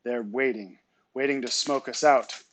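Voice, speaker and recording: Young male bear cub read by a man: calm, resolute, faint and even, storybook hero. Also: mid-range voice, unhurried, lightly acted. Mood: grim.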